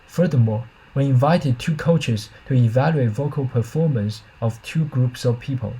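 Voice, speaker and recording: A man's voice: mid-range voice, normal speed, mellow, breathy edge, poor audio. A man reading a scripted academic presentation as a researcher, in a careful, formal manner with slight stumbles.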